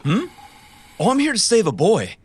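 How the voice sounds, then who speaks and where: A man's voice: sits high, huge pitch swings, moderate pace, darkish tone, breathy edge, heavily acted. Man acting a dazed, wildly swooping broken father. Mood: dazed elation. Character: dazed, fragile, deludedly happy male mercenary and father. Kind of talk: audio drama acting.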